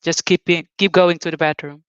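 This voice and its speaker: A woman in her forties, raised in Suriname, giving a relaxed, candid talk at an online company event. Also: lowish voice, moderate pace, no room sound. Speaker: conference speaker. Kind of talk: webinar talk. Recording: dull, lo-fi sound.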